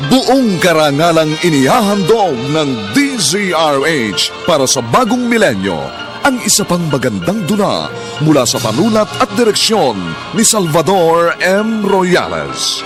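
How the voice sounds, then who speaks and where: A man's voice: on the high side, big pitch swings, unhurried, music in the background. A man giving a grand, declamatory introduction to a radio drama.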